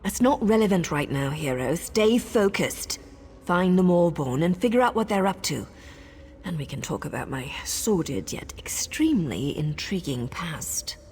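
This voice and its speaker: A woman's voice: lowish voice, sing-song, measured pace, a little mellow, big-room sound, slight background noise. An actress voicing a wry, roguish adventurer woman with a sordid past in a video game, sly, teasing and conversational. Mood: brisk, sly.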